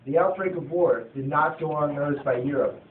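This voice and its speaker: A man's voice: fairly high pitch, a little fast. A young man reading scripted narration aloud for a student puppet show, stumbling now and then over his words. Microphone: phone from across the room. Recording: tinny phone recording, distant, echoey, noisy.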